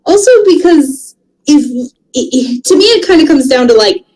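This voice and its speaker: Female panelist, slightly high voice, lively melodic swings, conversational delivery. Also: moderate pace, rough recording. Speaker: panelist and co-host. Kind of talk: casual chat, giving opinions.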